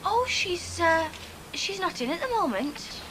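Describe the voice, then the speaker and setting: A woman's voice: extremely high pitch, big pitch swings, measured pace, noisy background, full character voice. A chatty landlady. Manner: chatty, homely, colloquial. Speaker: woman posing as a landlady.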